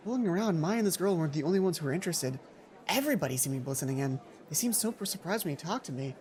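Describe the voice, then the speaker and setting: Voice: mid-range voice, lively intonation, racing, darkish tone. A let's play host reading a visual novel aloud theatrically, with casual, playful asides. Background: music.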